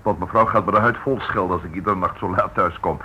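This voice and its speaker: An actor playing a practical, matter-of-fact police officer in a radio drama. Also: male voice, low voice, fast, lightly acted. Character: practical male police colleague of the inspector. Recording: dull, noisy old radio play recording.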